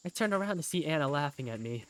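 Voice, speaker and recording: Low-pitched woman narrating a visual novel. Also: animated, fast. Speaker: narrator and lead character. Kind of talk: first-person visual novel narration, acted.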